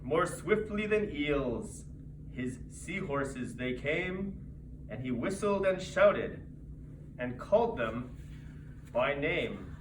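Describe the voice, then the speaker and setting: A man theatrically reciting a rhyming holiday story as a storyteller, in measured phrases. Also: fairly high pitch, expressive. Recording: distant mic, echoey noisy room.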